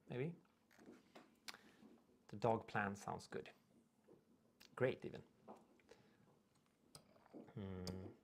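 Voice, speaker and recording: A young man with a Swedish accent talks casually through a live stream, reading out viewer comments and sometimes tripping over his own words. His voice sits somewhat low and has a gravelly, fry-tinged texture. A faint hiss runs under the recording. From Sweden. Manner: rambling, reads comments aloud, trips over words. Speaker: livestream host.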